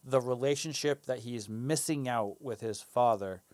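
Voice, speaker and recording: A man's voice: medium pitch, darkish tone, no room sound. A man co-hosting a casual faith discussion, often restarting his phrases before he finds his point. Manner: halting, restarts phrases, playful. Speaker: podcast co-host.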